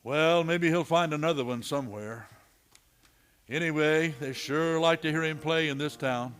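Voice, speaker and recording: A man's voice: on the high side, moderate pace, fairly bright tone, close to an everyday voice. A man playing a weary, dogged Old West marshal in a radio play, terse and questioning. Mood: resigned. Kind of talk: western radio play acting.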